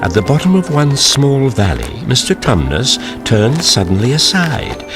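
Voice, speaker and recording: A man's voice: mid-range voice, wildly swooping pitch, a little slow, over music. A man narrating a children's story from a script, as the narrator of an audio drama.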